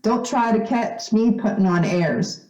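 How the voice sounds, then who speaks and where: A woman's voice: medium pitch, measured pace, warm tone, lightly acted. Woman playing distant, scolding old nursemaid. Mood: fondly scolding. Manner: teasing, scolding. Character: bossy, affectionate older woman, old family nursemaid. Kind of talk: old-time radio drama acting. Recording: distant, dull, echoey room.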